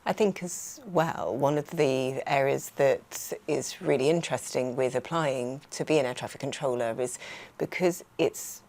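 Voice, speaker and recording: A woman's voice: extremely low pitch, measured pace, a little mellow. A woman hosting a conversational podcast interview, putting questions in a wordy, roundabout way. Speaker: host and recruiter.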